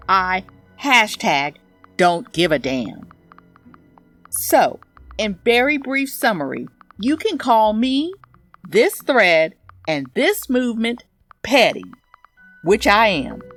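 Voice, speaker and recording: Casting: actress as indignant, sardonic woman ranting online, unhurried delivery, lively swooping pitch, comedy audio story. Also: heavily acted. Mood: indignant, petty. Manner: sardonic, self-righteous, emphatic. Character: petty, indignant woman posting a social media rant. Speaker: narrator, audio fiction reader. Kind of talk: scripted first-person comedy monologue.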